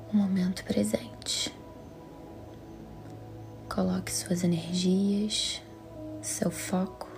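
A Brazilian Portuguese woman leads a guided meditation in a calm, soothing, measured way, her voice soft, breathy and half-whispered. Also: mid-range voice. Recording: music under the voice. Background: music.

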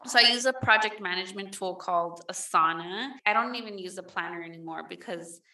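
A woman's voice: medium pitch, a little fast, bright, forceful tone. A woman co-hosting a casual chat, rambling with lots of fillers and restarts. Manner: rambling, filler-heavy with many "like"s. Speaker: podcast co-host.

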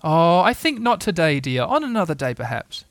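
Motherly storybook kangaroo voiced by a man, gentle yet mildly reproachful, raised pitch, soothing drawn-out delivery, dry. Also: very animated, no echo. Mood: placating.

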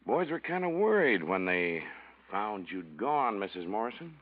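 An actor playing a calm police detective, dry and matter-of-fact, in 1940s radio acting. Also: male voice, fairly low pitch, normal speed. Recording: muffled vintage radio recording.

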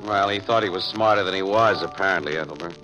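An actor playing a wry, unflappable newspaper crime photographer in a 1940s radio drama, with a dry, wisecracking manner. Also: male voice, low-pitched, fast, highs cut off, lightly acted. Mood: dry, detached. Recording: old radio recording, music behind.

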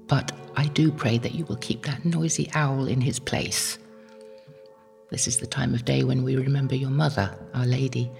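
An older woman playing an elderly, devout medieval anchoress in an audio drama, gentle and humble, praying in a hushed, earnest, reflective way. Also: a bass voice, creaky. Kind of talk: audio drama acting, intimate prayer.

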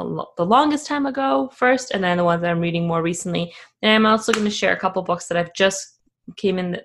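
A woman's voice: medium pitch, vocal fry. A woman from Afghanistan hosting her own podcast, talking casually and reflectively with many fillers and restarts. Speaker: podcast host. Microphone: camera video, facing the screen.

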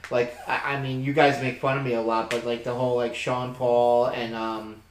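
A man's voice: mid-range voice, flat delivery, normal speed, slight background noise. A man co-hosting a podcast, chatting casually and animatedly about songs, laughing easily. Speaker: podcast co-host. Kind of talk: casual music review chat. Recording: echoey room.

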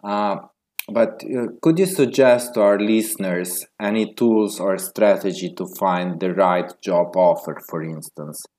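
A man's voice: sits low, a little slow, a little mellow. Guy hosting an interview, hesitant, lots of uh and okay. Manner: hesitant, many fillers and affirmations. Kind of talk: interview questions.

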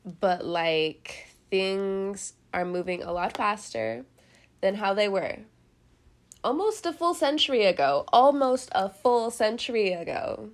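Chatty congested woman reading tarot, gravelly. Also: medium pitch, slow pace. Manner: chatty, laughs often, rambling asides, casual cursing. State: head cold, congested. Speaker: tarot reader host. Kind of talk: casual tarot reading monologue.

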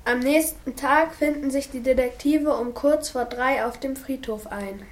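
A female narrator reads scripted story links for an amateur radio play. Her voice is on the high side. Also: unhurried.

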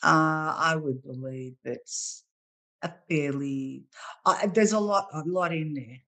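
Low-voiced Australian woman author. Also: slow pace. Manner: animated, hesitant, many fillers. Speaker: guest author. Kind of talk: casual interview chat.

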